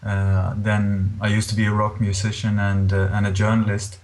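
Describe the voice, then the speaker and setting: A middle-aged man from Scandinavia with a Scandinavian accent, speaking earnestly and haltingly as an interview guest. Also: on the low side, monotone, a little mellow. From born in Denmark, grew up in Sweden.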